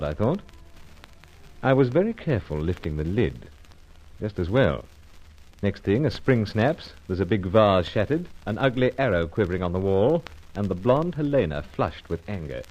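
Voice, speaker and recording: An actor playing a suave, sardonic British secret agent with a clipped, dryly nonchalant delivery in radio spy serial style. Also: male voice, lowish voice, very animated, normal speed, darkish tone, lo-fi sound. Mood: wry, dramatic. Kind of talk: old-time radio spy serial acting.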